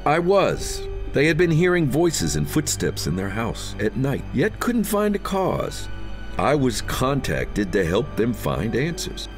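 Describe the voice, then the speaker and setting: A man's voice: medium pitch, wildly swooping pitch, unhurried. An earnest, touchy ghost hunter one would hear defending his haunting in a horror audio play, grave voice rising and falling, music behind a man acting. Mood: grave, defensive. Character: defensive, solemn, uneasy male ghost hunter with thinning hair. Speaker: character actor in an audio horror story. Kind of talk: acted horror audio drama dialogue.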